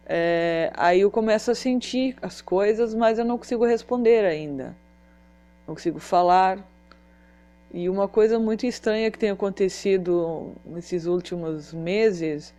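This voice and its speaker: A woman talking in a personal vlog, halting with pauses and restarts, Portuguese not her first language. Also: mid-range voice, dark tone. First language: another language than Portuguese. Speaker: vlogger.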